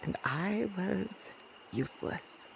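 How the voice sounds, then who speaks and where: A woman's voice: fairly low pitch, lively intonation, takes it slowly, creaky, background noise, full character voice. A woman playing a weary, principled rural doctor in an audio drama, blunt and sardonic. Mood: grieving, reflective. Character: weary, principled rural doctor and mother in post-apocalyptic wilds. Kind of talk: naturalistic audio drama acting.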